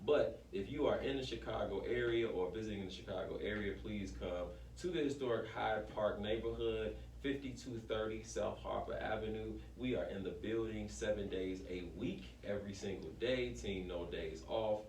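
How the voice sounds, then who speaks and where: A man with a fairly low voice speaks as a small business owner in an interview. He is informal and emphatic, often repeating phrases as he promotes his shop. The sound is echoey and a little distant, with faint noise. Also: normal speed, hardly any pauses, a little mellow. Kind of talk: casual promotional interview talk.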